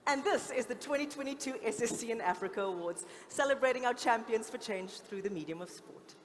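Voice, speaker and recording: A woman's voice: on the high side, brisk. A woman co-hosting an awards broadcast, presenting in a polished way to the audience and viewers. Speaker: awards ceremony co-host. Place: large event hall, on stage. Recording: echoey hall, noticeable background noise.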